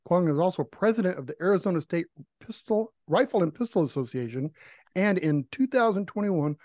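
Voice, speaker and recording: A man co-hosting a talk radio show, briskly reading a scripted guest introduction. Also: high-pitched, lively intonation, a little mellow, dry recording, highs cut off.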